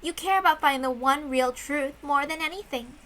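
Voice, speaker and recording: A woman voicing a calm, aloof female witch who speaks softly and measuredly. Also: high voice. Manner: soft, measured, quietly lecturing.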